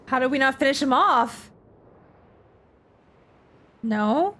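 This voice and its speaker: A woman's voice: high voice, lively intonation, slow, fairly bright tone. A woman streamer giving informal live reactions to a game in short, casual asides. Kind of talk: casual live gameplay commentary.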